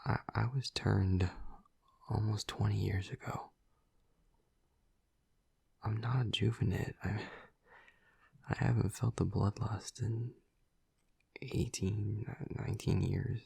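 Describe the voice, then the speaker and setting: A man playing a tormented, tender vampire lover in an intimate audio roleplay, halting and stammering in a breathy, husky half-whisper. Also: lowish voice, fairly even intonation, a little mellow, full character voice. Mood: anguished, smitten.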